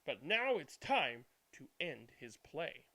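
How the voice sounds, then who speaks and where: A man narrating a children's bedtime storybook in an expressive, deliberate way. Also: a little high. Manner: animated, deliberate reading with hushed good nights. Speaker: read-aloud channel host.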